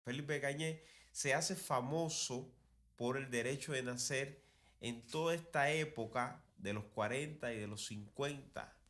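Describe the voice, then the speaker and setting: A man's voice: mid-range voice, unhurried, a bit bright. A man with a Cuban accent hosting a conversational talk on cultural history, telling it like a digressive storyteller who repeats phrases for emphasis. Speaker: podcast host.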